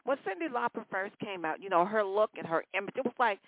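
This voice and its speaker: A woman's voice: medium pitch, expressive, quick pace, no room sound. A sixty-year-old woman DJ chats between records, looping back on her own phrases and calling her listeners y'all and baby. The sound is thin and phone-like, with no room around it. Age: 60s. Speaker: radio DJ. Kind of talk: casual on-air chat between songs.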